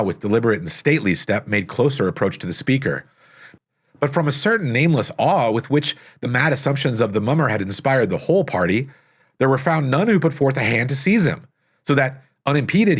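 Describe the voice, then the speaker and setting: A man reading a gothic story aloud as scripted narration, with solemn, dramatic, deliberate phrasing. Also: mid-range voice. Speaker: audiobook narrator.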